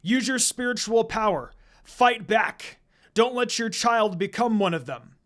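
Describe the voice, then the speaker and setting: A man voicing the ghost of a noble demon father, shouting urgently and encouragingly in a story reading. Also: high voice, animated, unhurried, voice pushed up. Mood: urgent, rallying.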